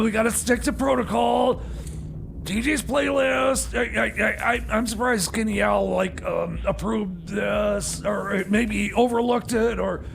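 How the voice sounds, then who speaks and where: Man playing a high, creaky, flustered radio DJ. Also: exaggerated voice. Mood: exasperated, apologetic. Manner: halting, stammering, exasperated. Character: flustered, apologetic substitute male radio DJ. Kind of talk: comedy radio show patter. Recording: faint background noise.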